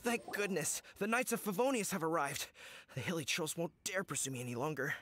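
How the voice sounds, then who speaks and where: A man's voice: a little high, very animated, brisk, a bit bright, faint music behind. A man playing a shaken travelling merchant in an anime video game, speaking dramatically. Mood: relieved. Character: rattled, relieved travelling merchant man who was attacked.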